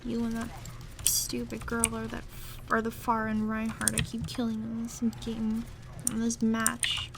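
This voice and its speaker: A woman's voice: a little high, completely flat delivery, measured pace, gravelly. A woman playing a jealous, pouty young gamer girlfriend in a casual, half-improvised roleplay audio. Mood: exasperated. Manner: pouty, grumbling, irritated. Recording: noisy room, clattering computer keyboard. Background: loud keyboard typing and background noise.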